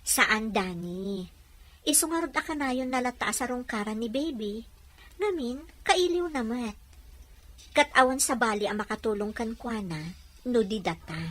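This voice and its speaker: A woman's voice: on the high side, animated, a little slow, a bit bright. A woman playing a kind, patient wife and friend in a conversational audio drama. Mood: explaining.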